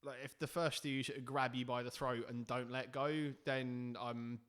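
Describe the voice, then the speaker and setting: A man's voice: medium pitch, little pitch movement, moderate pace, a bit bright, smooth. A man co-hosting a casual round-table album review, rambling and hesitant with many restarts and fillers. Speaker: podcast co-host.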